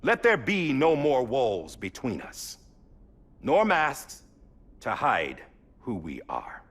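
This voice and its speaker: A solemn, stately president in a cinematic game. He delivers a grand inaugural address slowly, with deliberate pauses and very wide swells in pitch. His husky voice gives the formal oratory weight. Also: huge pitch swings, a bit bright, full character voice. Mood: solemn, resolute. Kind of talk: formal political speech, dramatic game acting.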